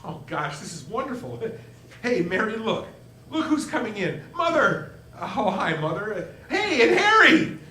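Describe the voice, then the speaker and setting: A man's voice: high-pitched, very animated, a little slow, pushed and bright, a little hiss or hum, exaggerated voice. A man playing an overwhelmed, joyful father in a 1940s-style radio play, stammering and full of emotion. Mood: overjoyed. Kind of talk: 1940s radio play acting, live on stage. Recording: roomy live stage, piano music behind.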